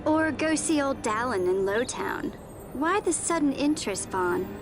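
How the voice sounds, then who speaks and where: High-voiced, sensible teenage girl. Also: animated, unhurried. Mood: teasing. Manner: chiding, playful. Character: sensible, teasing teenage girl, exasperated best friend. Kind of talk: naturalistic game voice acting.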